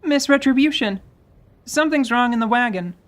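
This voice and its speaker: A man's voice: very high-pitched, normal speed, pitched above the performer's own voice. A man voicing a polite, nervous young wagon driver in a fantasy audio drama, hesitant and deferential. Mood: alarmed.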